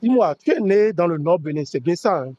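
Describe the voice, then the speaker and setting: A man hosting a casual interview, curious and friendly, often nudging with quick "ok"s. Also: high voice, animated, dark tone, dry recording, highs cut off. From Benin. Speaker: show host.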